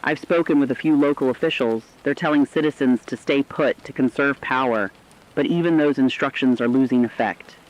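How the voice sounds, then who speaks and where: A man's voice: medium pitch, brisk, lightly acted. A man playing an alarmed, earnest radio reporter, delivering scripted news in a serious, articulate, emphatic way. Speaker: news correspondent. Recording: dull lo-fi radio sound.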